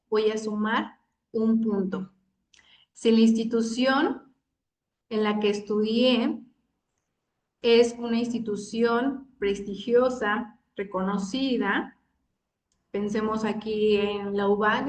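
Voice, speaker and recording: Woman, workshop speaker, steady explanatory pace, filler-heavy, echoey room, distant mic. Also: mid-range voice. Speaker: psychologist giving a workshop. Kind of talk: workshop talk. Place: conference room.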